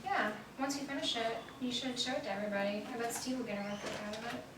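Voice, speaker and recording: A woman's voice: fairly high pitch, fast talker, natural voice. A woman playing a friendly, inquisitive coworker in a stage play, chatty and encouraging. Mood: friendly, curious. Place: theater stage, distant mic. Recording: distant echoey stage with clear noise.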